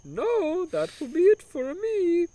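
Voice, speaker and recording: A man's voice: huge pitch swings, warm tone, no echo, full character voice. Man doing a whiny fake-Italian mustached plumber. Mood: chirpy. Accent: put-on broken Italian. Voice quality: high, whiny, drawn-out. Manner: theatrical, complaining, shrieking at peaks.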